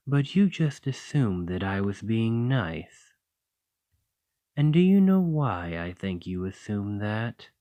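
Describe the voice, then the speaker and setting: A man voicing a doting, teasing male dragon lover in an ASMR roleplay, talking softly and coaxingly. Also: fairly low pitch, dry recording. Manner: soft, playful and coaxing.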